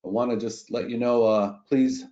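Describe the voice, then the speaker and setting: A man with a head cold hosting an online webinar, stuffy and halting, with many fillers. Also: medium pitch, steady tone, warm tone, lo-fi sound. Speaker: webinar host.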